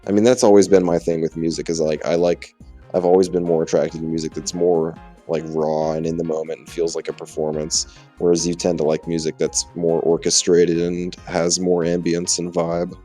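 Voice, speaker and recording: Low-voiced man bantering over game music. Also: level tone, moderate pace, dark tone. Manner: joking, self-mocking. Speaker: gaming channel co-host. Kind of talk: casual banter during gameplay.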